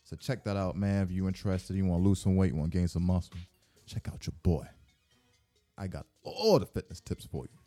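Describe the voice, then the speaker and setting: A man's voice: sits low, lively intonation, a little fast, mellow, soft background music. A man co-hosting a podcast in casual, slangy chat, laid-back and crudely joking. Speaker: podcast co-host. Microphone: podcast microphone, sometimes off-mic.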